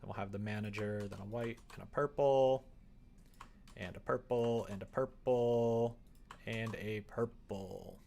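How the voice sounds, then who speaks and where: Slow-paced, gravelly man thinking aloud. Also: on the low side, steady tone, a little mellow. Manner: thinks aloud, mutters instructions while working, chats back to viewers. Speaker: live streamer and visual novel developer. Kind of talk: casual livestream chat while coding, often muttering to himself. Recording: faint background noise with keyboard typing.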